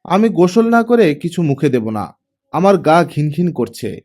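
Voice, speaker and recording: A man's voice: fairly high pitch, big pitch swings, measured pace, a little mellow. A man voicing a weary, irritable young mother in an audiobook, speaking curtly and stubbornly. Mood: tired, stubborn. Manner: curt, stubborn.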